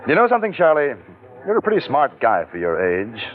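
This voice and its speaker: An actor playing a smooth-talking but nervous young office manager hiding a theft, in a tinny old radio recording. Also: male voice, mid-range voice, huge pitch swings, fairly quick, soft background music. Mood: scheming. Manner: smooth-talking, hesitant when cornered. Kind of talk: 1940s radio acting.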